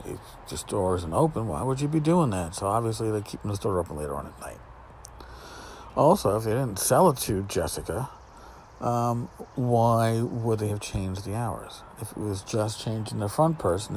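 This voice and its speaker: A man with a fairly low voice gives an off-the-cuff solo podcast commentary. He rambles and sounds exasperated and sarcastic, with a blunt, profane streak. Some faint noise sits in the recording. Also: darkish tone. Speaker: podcast host. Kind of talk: casual solo commentary, reading out emails. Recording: noticeable background noise.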